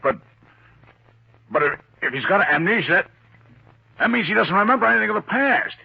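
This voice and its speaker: A muffled old radio recording of a tough racketeer. His voice is husky and swings widely in pitch, blunt and gangster-like in classic crime drama style. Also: expressive, moderate pace, exaggerated voice. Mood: shocked. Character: tough, defiant male racketeer crime boss under pressure.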